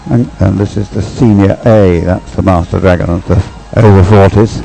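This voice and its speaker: A man commentates live on a boat race in a deep, soft-edged voice. He rambles and hesitates, working out his thoughts as he speaks. Faint music sits behind him. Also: steady tone, normal speed, gravelly, poor audio. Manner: rambling, hesitant, thinking aloud. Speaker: sports commentator.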